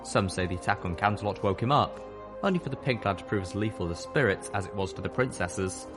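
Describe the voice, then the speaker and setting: A man's voice: on the low side, lively intonation, quick pace, natural voice. A man voicing a robed pony cultist who recounts rumours in a plain, matter-of-fact way, with music behind.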